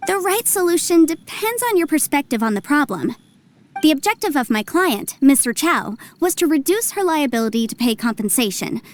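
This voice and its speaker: A woman's voice: high-pitched, very animated, normal speed, slight background noise. A woman voicing a breezy, confident, articulate young legal advisor in a video game. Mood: confident, explaining. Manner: breezy, articulate, self-assured.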